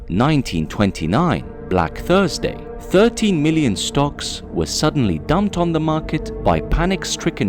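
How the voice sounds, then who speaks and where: Dramatic male narrator with very animated pitch. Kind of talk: scripted documentary narration.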